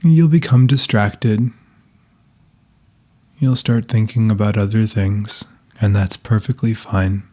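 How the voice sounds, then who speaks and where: A man leading a guided meditation in a soft, calm, breathy voice, giving gentle instructions with long pauses. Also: fairly low pitch.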